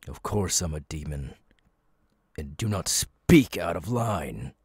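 A man voicing a menacing, imperious demon lord, cold and cruel, with a husky, breathy voice, in an ASMR audio roleplay. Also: rumbling low, expressive, no echo, studio quality, exaggerated voice. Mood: stern, menacing. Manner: slow, deliberate, commanding, threatening.

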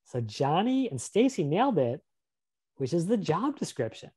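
A man's voice: fairly high pitch, big pitch swings, moderate pace, mellow, breathy edge. A man presenting a career webinar over a video call, upbeat and encouraging, often putting questions to a volunteer. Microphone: webcam on a video call.

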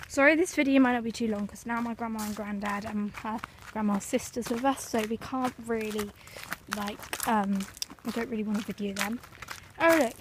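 A teenage girl talks into a phone held at arm's length while out walking by a marina. Her voice sits a little high, and she rambles along with plenty of "um" and "like." The outdoor recording carries clear background noise. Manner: rambling, lots of "um" and "like". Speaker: vlogger. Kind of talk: casual vlog chat. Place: outdoors at a sunny marina and woodland path.

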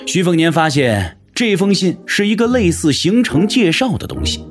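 A man's voice: high-pitched, sing-song, moderate pace, soft background music. A man narrating an audio drama from a script in a dramatic storytelling style.

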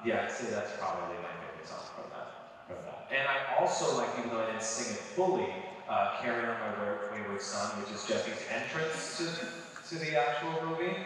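A man's voice: fairly low pitch, a bit bright. Casual man answering in an echoey hall. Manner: casual, easygoing, quick asides. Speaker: panel guest actor. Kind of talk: convention panel answers. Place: large convention hall. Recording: echoey hall from far off, faint noise.

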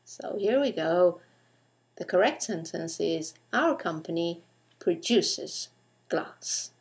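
A woman's voice: lowish voice, animated, takes it slowly. A woman teacher narrating a scripted grammar lesson, enunciating carefully and putting questions to the listener.

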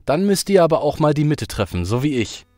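A man acts out a gruff fantasy innkeeper for an audio play. His voice is deep and dryly recorded, with a taunting, hard-drinking swagger. Music plays behind him. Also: very animated, brisk, a little mellow, no room sound, studio quality. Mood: challenging. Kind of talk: fantasy audio drama acting.

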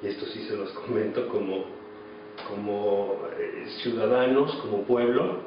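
Echoey, low-voiced Mexican man. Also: measured pace. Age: 40s. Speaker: actor being interviewed. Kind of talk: reflective interview talk. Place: small office indoors. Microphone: camera mic at a distance. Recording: echoey, distant, dull, noisy room.

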